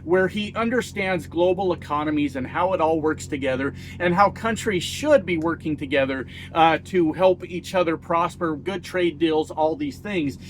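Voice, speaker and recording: A man's voice: fairly high pitch, a little hiss or hum. A middle-aged American man giving blunt, casual political commentary, folksy and self-mocking, swearing freely. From United States. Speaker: YouTube commentator.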